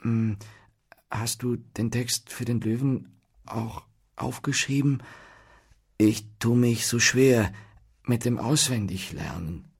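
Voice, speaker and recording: A man voicing a timid craftsman, a slow learner who speaks in a hesitant manner. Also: mid-range voice, creaky, slightly rough, voice pushed up, full character voice.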